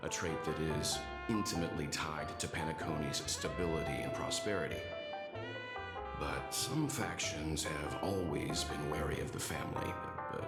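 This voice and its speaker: A man acting a level-headed, scholarly mentor in a video game, speaking formally and politely in a measured, deliberate way. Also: very deep voice. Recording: roomy echo under loud music.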